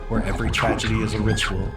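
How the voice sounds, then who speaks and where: A man plays a portentous horror trailer narrator with a lowish voice. The delivery is theatrical, florid and ominous, set over loud music. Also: flat delivery, fast talker, close to an everyday voice.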